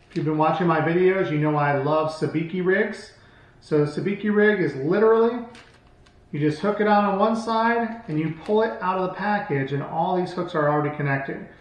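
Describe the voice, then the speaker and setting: A man in his thirties talking informally and off the cuff as he shows products as a channel host, in a plainspoken, rambling way. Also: a little high. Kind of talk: casual product showcase, unscripted vlog talk. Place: small hard-walled room at home, indoors. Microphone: camera mic across a table. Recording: very echoey room, faint background noise.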